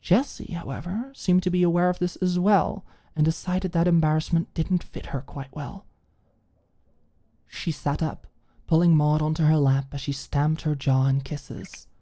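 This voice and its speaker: A woman's voice: low-pitched, steady tone, unhurried, warm tone. A woman narrating a scripted story in the style of an ASMR audiobook.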